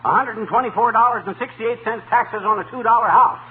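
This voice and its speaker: An actor playing a blustery, indignant middle-aged American husband, a chronic complainer, in 1940s radio comedy style. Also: male voice, extremely high pitch, fast talker. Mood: outraged grumbling. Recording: tinny, noisy 1940s radio recording.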